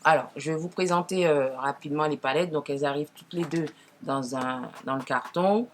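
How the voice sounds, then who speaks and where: A woman with a naturally deep voice, lowered further by a cold, chats casually to viewers as she presents makeup, often correcting herself. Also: a little fast, a little hiss or hum. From Martinique. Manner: informal, frequent self-corrections. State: deeper, hoarse-sounding from a cold. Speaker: makeup tutorial host.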